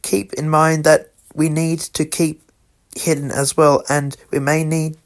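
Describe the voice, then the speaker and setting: Halting man reading emergency alert. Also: on the high side, level tone. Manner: halting, stumbles over the script and corrects himself. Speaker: radio emergency announcer in a fan-made scenario. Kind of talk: amateur scripted emergency broadcast narration.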